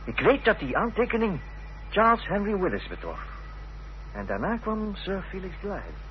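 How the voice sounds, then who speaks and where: Animated, insistent gentleman. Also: high voice, moderate pace, background noise. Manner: emphatic, insistent. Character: insistent, agitated gentleman sure of his memory. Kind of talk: radio play acting. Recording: dull, humming old radio recording.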